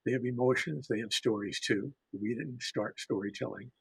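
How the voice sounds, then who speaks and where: Older male storyteller, very husky with gravelly creak, measured and reflective, dry close sound. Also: mid-range voice, no room sound, rough recording. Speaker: author and storyteller panelist. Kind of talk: reflective panel talk.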